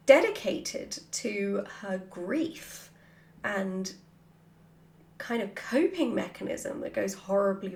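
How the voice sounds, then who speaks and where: A woman vlogger answering questions off the cuff in an animated, informal, jokey way. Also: medium pitch, creaky. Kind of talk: casual chatty Q&A, off the cuff. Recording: roomy, echoey sound.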